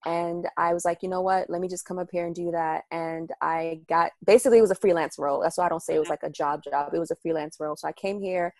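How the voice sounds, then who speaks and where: A woman's voice: on the low side, fairly even intonation, quick pace, hardly any pauses, darkish tone, dry recording. A young woman from the Washington DC and Maryland area, telling her life story in a casual interview, chatty and full of detail. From United States, Washington DC and Maryland area. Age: young adult. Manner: chatty, detailed storyteller with frequent ums and laughs. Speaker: radio show host and guest.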